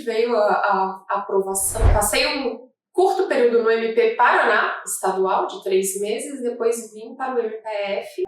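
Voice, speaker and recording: A woman prosecutor in her thirties from Brazil, with a Rio de Janeiro accent, speaking in a measured, thoughtful way and sometimes restarting a phrase. Her mid-range voice carries heavy reverb from a bare room. She sits some distance from the microphone. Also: mid-range voice. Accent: Brazilian Portuguese, Rio de Janeiro. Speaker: federal prosecutor. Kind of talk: oral history interview. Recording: echoey room, mic at a distance.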